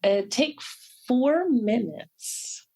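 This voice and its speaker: A woman's voice: fairly high pitch, lively intonation, extremely slow, a little mellow, breathy edge. A woman giving short answers and reading out questions in a casual conversation. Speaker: conversation partner.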